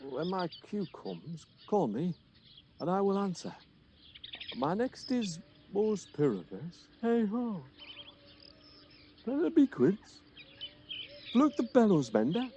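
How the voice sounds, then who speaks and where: Guy doing excitable weaver who thinks hes a great actor, hammy shakespeare radio play, pitch all over the place. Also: slow pace, pauses often, a little hiss or hum, full character voice. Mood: drowsy, confused. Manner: theatrical, chuckling, self-important.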